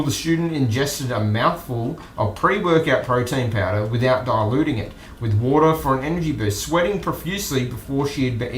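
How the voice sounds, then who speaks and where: Echoey Australian man, low-key news streamer. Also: a little hiss or hum. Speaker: host of an online news commentary stream. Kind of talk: casual off-the-cuff commentary on news clips. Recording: roomy echo with music behind.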